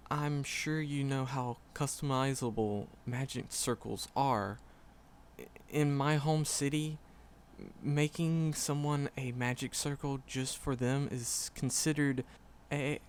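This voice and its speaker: Slow, stammering shy professor played by a man. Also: mid-range voice, steady tone, a little hiss or hum. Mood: bashful confession. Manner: nervous, heavy stammering, hesitant, halting. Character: shy, flustered, lovestruck male magic professor. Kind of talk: roleplay audio drama, speaking softly to the listener.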